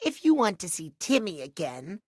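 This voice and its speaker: A man's voice: high voice, huge pitch swings, moderate pace, no echo, studio quality, exaggerated voice. A man voicing a vain, needy, childish male cat clock in a video game, using pouty third-person baby talk. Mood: pouty, wheedling. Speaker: voice actor. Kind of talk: video game character acting.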